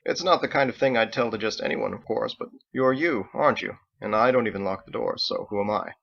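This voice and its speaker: A man's voice: lowish voice, a little fast, vocal fry, dull audio. A man voicing a charming, fretful old father. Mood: charming, needling. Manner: effusive, wheedling, exclamatory. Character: charming, fretful elderly father and tavern keeper, pious.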